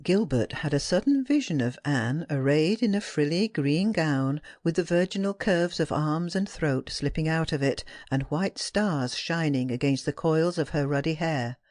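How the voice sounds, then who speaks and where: An audiobook narrator with a mid-pitched voice reads classic fiction. The delivery is scripted, expressive and tender, with a dramatic storytelling feel. The sound is very dry and close. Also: moderate pace, few pauses, no echo.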